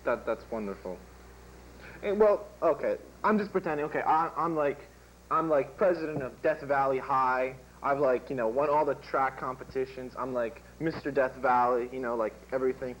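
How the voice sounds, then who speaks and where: A man's voice: mid-range voice, moderate pace, fairly bright tone, poor audio. Rambling teenage boy interviewer. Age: teens. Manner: rambling, full of "like" and "um". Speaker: student interviewer. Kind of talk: improvised school TV sketch.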